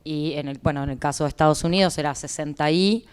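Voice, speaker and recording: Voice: mid-range voice, fast talker, clear, resonant tone. An interpreter with an Argentine Spanish accent, explaining to listeners in consecutive turns at a conference.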